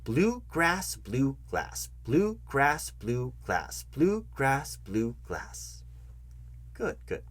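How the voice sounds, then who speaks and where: A man performing a comedic job interview roleplay, speaking straight to the listener in a fussy, halting, repetitive manner. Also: medium pitch, very animated, fairly bright tone. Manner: fussy, halting, repeats himself, addresses listener directly. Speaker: ASMR channel host acting as job interviewer.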